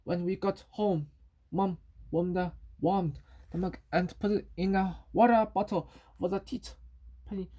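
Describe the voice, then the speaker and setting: Lower-pitched woman reading a story, haltingly. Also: steady tone, mellow. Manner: halting, repeats and corrects words while reading. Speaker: reader of a children's story. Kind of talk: reading a children's story aloud.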